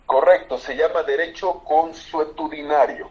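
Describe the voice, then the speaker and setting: A man's voice: on the high side, steady tone, a little slow, a little mellow, highs cut off. A man from Bolivia, a lawyer, explaining constitutional law emphatically on a political talk show. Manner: emphatic, explanatory, repeats for stress.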